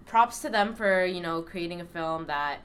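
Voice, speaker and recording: A woman in casual conversation, talking relaxed and hesitant with many ums. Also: fairly low pitch, normal speed, clear, resonant tone. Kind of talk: casual chat.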